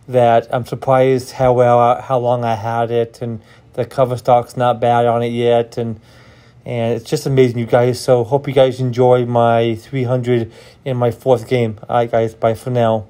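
A middle-aged man chats to his channel in a bowling alley, rambling eagerly with many ums and uhs. His voice is mellow and smooth, with almost no rise or fall in pitch, picked up by a phone nearby. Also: level tone, unhurried. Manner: rambling, enthusiastic, many fillers. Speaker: bowling vlogger. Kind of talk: casual vlog talk to camera.

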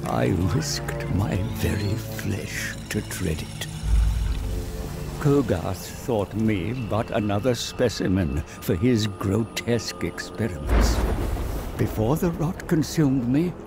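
A man playing a cunning old wizard in a game cinematic, grave, dramatic and deliberate. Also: lowish voice, expressive, gravelly, full character voice. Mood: grave, conspiratorial. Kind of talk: video game cinematic narration and dialogue. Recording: loud music under the voice.

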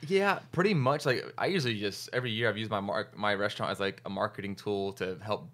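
A man in his thirties hosting a podcast from a small studio into a boom mic, chatting easily with laughs and trailing fillers. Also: fast talker, fairly bright tone. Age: 30s. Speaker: podcast host, restaurant owner. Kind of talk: casual chat. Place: small studio office with monitors. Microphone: large studio mic on boom arm.

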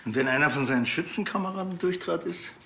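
An actor playing a chatty small-town Bavarian police officer, casual and colloquial, in a TV crime comedy. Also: male voice, on the high side, quick pace, creaky, bright, forceful tone. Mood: musing.

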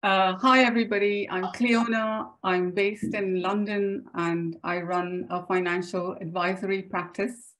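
A woman's voice: medium pitch, steady tone, measured pace, hardly any pauses, fairly bright tone, rough recording. Female webinar presenter, middle-aged, Indian accent, mid-range voice, informal delivery over a video call from home. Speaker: financial advisor, webinar co-presenter. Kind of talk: informal spoken self-introduction to a group. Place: home room with bookshelves, indoors.

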